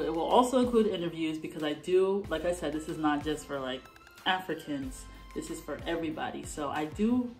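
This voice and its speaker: A young woman vlogs from her bedroom, talking straight to the camera in a relaxed, animated way and gesturing as she explains. Her voice sits low for a woman. Soft music plays faintly behind her. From born in Canada, raised in the US. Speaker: vlogger. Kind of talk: casual talk to camera. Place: bedroom at home, indoors.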